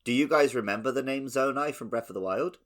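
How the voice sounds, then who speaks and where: A man's voice: medium pitch, fast, a bit bright. A man co-hosting a gaming podcast, talking casually and conversationally with the others. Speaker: podcast co-host.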